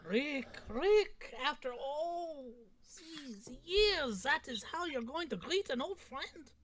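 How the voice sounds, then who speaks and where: A woman playing a smug, taunting villain in a theatrical, drawn-out way at a comedy table read. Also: sits high, expressive, fairly bright tone, heavily acted. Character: smug taunting villain, an old rival of a cop. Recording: video call.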